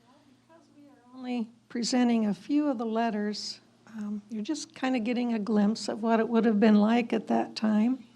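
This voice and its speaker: A woman's voice: fairly high pitch, fairly even intonation, normal speed, warm tone. A woman giving an informal historical narration as a presenter, speaking a little hesitantly. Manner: hesitant, with frequent throat clearing. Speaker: presenter commenting on historical letters.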